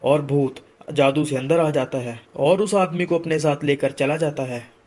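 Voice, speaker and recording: A man's voice: on the high side, normal speed, a little mellow. A man narrating a scripted moral story in a dramatic storytelling style.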